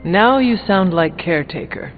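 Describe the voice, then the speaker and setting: Solemn, literal-minded game warrior hero. High male voice, formal delivery, music behind. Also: expressive, moderate pace, phone-quality sound. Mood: earnest, resolute. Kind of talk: video game dialogue acting. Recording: thin band-limited game audio, long reverb, music.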